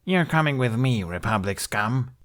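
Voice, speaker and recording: A man's voice: mid-range voice, moderate pace, pitched above the performer's own voice, close to an everyday voice. Man voicing a contemptuous alien shopwoman. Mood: menacing. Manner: deadpan, contemptuous. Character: hostile scowling female alien shop clerk, gangster's lackey.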